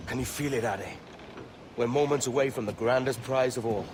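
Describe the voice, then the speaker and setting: An actor playing a brash, greedy, roguish pirate captain in a video game, with a blunt, cocky manner. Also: male voice, medium pitch, a little fast, background noise, lightly acted. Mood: eager. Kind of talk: video game cutscene acting.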